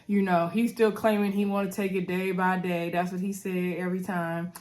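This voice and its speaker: A congested woman, recapping a reality show to her viewers in a chatty, animated way, often saying "y'all". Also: lowish voice, normal speed. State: congested, coughing.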